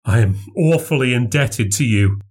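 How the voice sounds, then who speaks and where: Man acting a shaken, earnest gentleman. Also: mid-range voice, lively intonation, normal speed, slightly rough. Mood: subdued, earnest. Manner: agitated, earnest, insistent. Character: frantic, shaken husband telling of ghostly horror. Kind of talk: storybook character dialogue.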